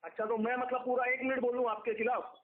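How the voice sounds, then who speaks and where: A man argues in a debate. He challenges and questions his opponent and readily cuts in. He comes through a tinny phone line with other voices clearly behind him. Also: very high-pitched, flat delivery, fast talker, a crowd in the background. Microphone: telephone.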